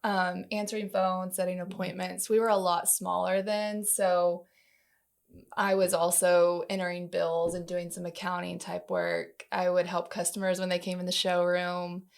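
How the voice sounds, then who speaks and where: A woman's voice: fairly low pitch, flat delivery, normal speed, creaky. A woman answering questions conversationally as a podcast guest, in an informal, unpolished way. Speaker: podcast guest, marketing manager.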